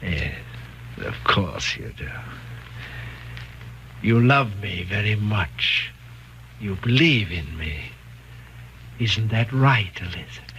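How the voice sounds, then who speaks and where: An actor playing a domineering, murderous hypnotist in a 1940s radio thriller, slow, commanding and coaxing. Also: male voice, fairly low pitch, lively intonation, fairly bright tone. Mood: hypnotic, coaxing. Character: sinister, domineering male hypnotist with murderous calm. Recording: dull, noisy 1940s radio recording.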